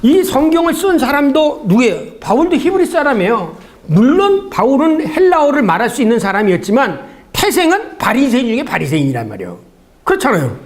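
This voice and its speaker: A man's voice: extremely high pitch, wildly swooping pitch, a little fast. A man giving a theology seminar lecture in a conversational, didactic way, often asking rhetorical questions and answering them himself.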